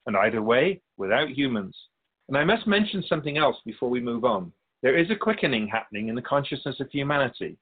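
Male actor as wry spirit guide, philosophical radio drama dialogue, medium pitch, rough-edged voice, thin telephone-like audio. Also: big pitch swings. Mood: grave. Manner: measured, philosophical, gently admonishing. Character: Keeper of Souls Purpose, wise, wry otherworldly spirit guide. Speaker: character answering an interviewer in a radio drama.

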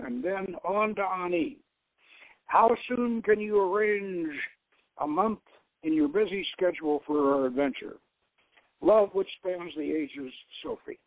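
A man reads the part of a purposeful woman adventurer in a storybook, setting out her plans deliberately. The voice is fairly high for a male reader, on a dry, thin, phone-like recording. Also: dry recording. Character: adult woman adventurer writing a purposeful, loving letter. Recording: tinny, narrow-band radio-style sound.

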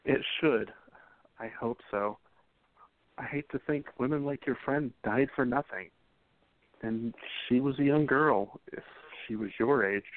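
A man playing a gentle, respectful young 1920s man who reassures his sweetheart, in an amateur radio play. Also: medium pitch, unhurried, no echo, natural voice. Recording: tinny phone-like audio.

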